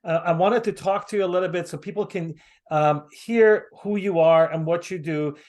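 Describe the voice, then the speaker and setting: Halting American man hosting a call. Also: sits high, a little mellow. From United States. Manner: halting, many fillers and restarts. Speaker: host. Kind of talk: casual video call chat. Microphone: video call.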